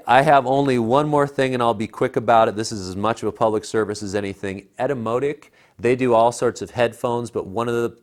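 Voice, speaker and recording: An American man in his thirties reviewing tech in casual chat, with an emphatic, persuasive, colloquial way of talking. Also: medium pitch. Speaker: tech reviewer, show co-host. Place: living room at home. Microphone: clip-on lapel mic.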